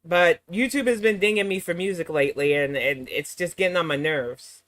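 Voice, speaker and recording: Sassy slightly hoarse woman streamer. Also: on the low side, brisk, a bit bright, dry recording. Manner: sassy, mocking asides. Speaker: reaction channel host. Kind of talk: casual live-stream chat.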